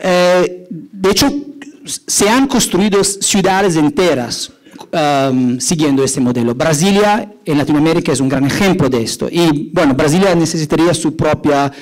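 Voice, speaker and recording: A man's voice: a little high, moderate pace, rough recording. A middle-aged Italian man with an Italian accent, giving a hesitant, explanatory conference talk from an auditorium stage. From Italy. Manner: many fillers and restarts, explanatory. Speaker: conference speaker, urban designer.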